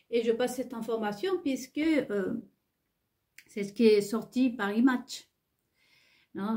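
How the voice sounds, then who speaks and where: A woman who is not a native French speaker, talking to her viewers in a halting, rambling monologue full of hesitations and restarts. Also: mid-range voice, dark tone. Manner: insistent, repeats herself. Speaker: vlogger.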